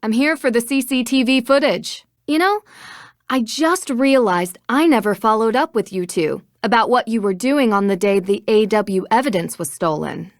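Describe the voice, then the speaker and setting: A woman voicing an inquisitive young American detective in a video game, speaking in a measured, thoughtful way. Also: on the high side, animated, no room sound, clean studio sound. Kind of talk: video game dialogue acting.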